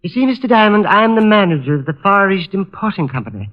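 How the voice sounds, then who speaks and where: Timid, fussy manager, higher-pitched with lively swings, hesitant and deferential, vintage radio drama. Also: male voice, fast talker. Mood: worried. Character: fussy, timid, anxious male shop manager. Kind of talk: 1950s radio drama acting. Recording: muffled vintage radio recording.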